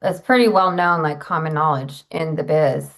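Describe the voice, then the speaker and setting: A woman performing on a comedy show, trading casual banter in a deadpan way. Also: deep voice, moderate pace.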